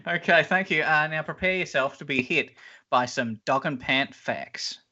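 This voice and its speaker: A man's voice: fairly high pitch, moderate pace, bright, forceful tone, faint music behind. A man with a nasal voice hosting a comedy podcast, playfully announcing rounds. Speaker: host. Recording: lo-fi podcast audio.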